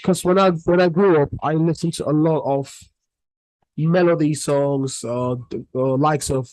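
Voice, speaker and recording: Higher-voiced man, relaxed and rambling. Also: measured pace, dry recording, low-quality recording. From Cameroon. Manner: relaxed, rambling with many restarts and fillers. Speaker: Afrobeats artist, guest. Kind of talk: casual interview answers.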